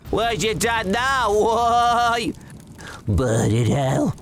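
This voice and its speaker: High-pitched sneering thug villain. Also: male voice, expressive, drawn-out words, fairly bright tone, full character voice. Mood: vicious, taunting. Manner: sneering, menacing. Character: vicious, sneering young male robber fresh from prison. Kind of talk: dubbed manga villain acting. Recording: music behind the voice.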